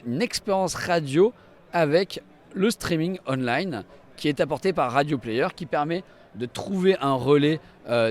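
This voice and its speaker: Guy w slightly high voice doing a live product demo at a trade show, keen and explaining stuff as he goes. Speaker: company representative demonstrating products at a trade show. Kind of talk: live walk-through product demonstration to camera. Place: trade show stand and inside a car.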